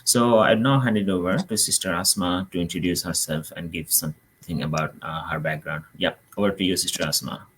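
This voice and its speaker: A man hosts a live online talk in a very low voice with a Bangladeshi accent. He explains things to his viewers, with a faint noise behind him. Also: fairly even intonation, brisk, hardly any pauses, a bit bright, low-quality recording. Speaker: host of an online business show.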